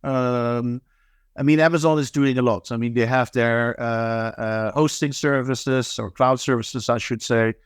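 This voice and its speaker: Man, smooth hesitant speech. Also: mid-range voice, steady tone, no echo, clean studio sound. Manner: halting, many fillers and restarts. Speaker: guest, managing director of an anti-scam nonprofit. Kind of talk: interview answers, thoughtful and hesitant.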